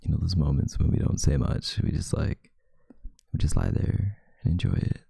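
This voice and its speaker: A young man doing an intimate ASMR boyfriend roleplay as a sleepy, affectionate, teasing partner in bed, murmuring softly and drowsily close to the microphone. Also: sits low, moderate pace, no echo. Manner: soft, drowsy murmuring, partly breathy and whispered. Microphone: close microphone, dry sound.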